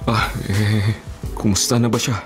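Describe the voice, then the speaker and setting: Actor as a hesitant, earnest young Filipino bodyguard. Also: male voice, on the low side, flat delivery, a little slow, close to an everyday voice. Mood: subdued. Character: serious, shy young bodyguard, hesitant in love. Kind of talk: Filipino radio drama acting. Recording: music behind the voice.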